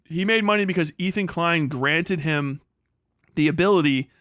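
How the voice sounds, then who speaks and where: A man's voice: on the high side, normal speed, a bit bright, dry recording, clean studio sound. A man hosting a livestream with casual commentary, blunt, sweary and rambling in the way he talks. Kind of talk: casual livestream commentary, reading and reacting to posts.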